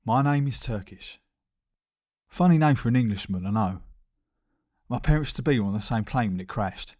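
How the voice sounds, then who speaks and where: A man's voice: medium pitch, animated, normal speed, darkish tone, lightly acted. A man playing a wry small-time London boxing promoter, narrating in crime comedy film style with a London accent. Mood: wry, matter-of-fact.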